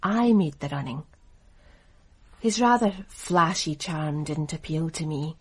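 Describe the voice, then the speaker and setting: A cool, husky scheming businesswoman. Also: low voice, animated, measured pace, exaggerated voice. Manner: cool, guarded, seductive. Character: cool, calculating businesswoman in her thirties. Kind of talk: radio drama acting.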